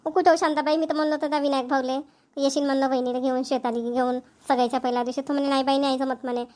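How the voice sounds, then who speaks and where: Woman acting a high-pitched, rapid-talking village woman, Vidarbha Marathi. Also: level tone, a little mellow, natural voice. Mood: chatty, practical. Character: chatty, practical village women neighbours planning an engagement. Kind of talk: amateur cartoon dialogue.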